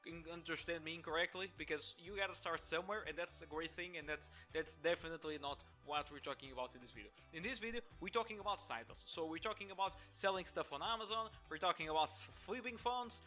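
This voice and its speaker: Portuguese accent guy in his 20s, kinda high bright voice, rambles his opinions w restarts. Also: fast talker, hardly any pauses, faint music behind. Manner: opinionated, many restarts and filler. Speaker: business owner. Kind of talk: talking-head monologue to camera.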